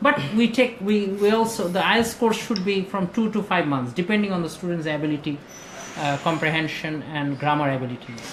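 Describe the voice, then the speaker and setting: A man talks through exam advice in a casual discussion. He pauses often and fills the gaps with hesitations. The sound is roomy, with a faint background noise. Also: a little high, a bit bright. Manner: hesitant, many fillers, slow deliberate phrasing. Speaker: IELTS trainer. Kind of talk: casual discussion, mixing in Bengali. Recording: echoey room with faint ambient noise.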